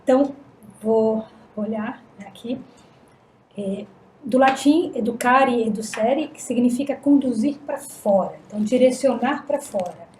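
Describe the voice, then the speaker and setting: A woman's voice: a little high, dark tone. A middle-aged woman psychologist with a Brazilian Portuguese accent, speaking thoughtfully on a panel and often ending phrases with "né?". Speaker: psychologist guest on a panel podcast. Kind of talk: reflective panel discussion. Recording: echoey room, faint ambient noise.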